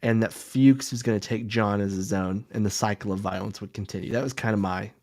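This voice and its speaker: A man co-hosting a podcast in casual chat, speaking in an informal, somewhat halting conversational way. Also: fairly low pitch, fairly even intonation, creaky, darkish tone. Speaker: podcast co-host.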